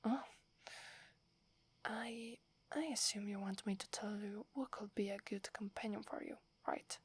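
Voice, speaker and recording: A woman with an Italian accent playing a shy, devoted young werewolf in a breathy, hesitant ASMR whisper. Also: medium pitch, steady tone. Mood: dutiful, resigned. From Italy. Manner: hesitant, halting, deferential. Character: devoted, shy young female werewolf serving her alpha.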